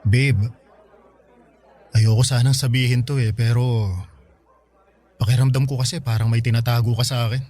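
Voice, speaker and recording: A man's voice: medium pitch, steady tone, moderate pace, pauses often, darkish tone, some chatter behind, close to an everyday voice. A man playing an emotional, insistent young boyfriend in a Filipino radio drama. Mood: suspicious, demanding. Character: suspicious, insistent young boyfriend who turns protective.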